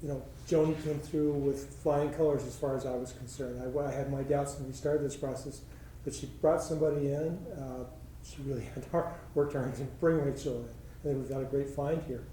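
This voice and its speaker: A man's voice: mid-range voice, steady tone, brisk, mellow, slight background noise. Man speaking from afar in echoey room. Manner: rambling, self-correcting. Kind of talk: public meeting discussion. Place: public meeting room. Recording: echoey chamber, distant mic.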